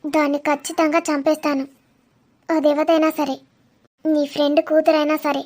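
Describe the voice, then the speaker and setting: A woman's voice: high-pitched, level tone, normal speed, a little mellow, natural voice. A woman playing a ruthless, scheming mother who is possessive of her son and makes cold, menacing threats. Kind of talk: melodramatic audio drama.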